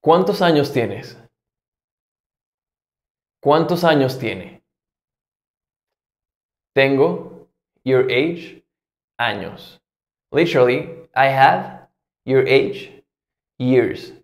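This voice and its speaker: Young mexican guy teaching spanish, takes it real slow, enunciates, bit of room echo. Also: medium pitch, animated. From Mexico, Guadalajara in Jalisco. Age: 20s. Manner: clear, deliberate enunciation. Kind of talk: scripted language lesson.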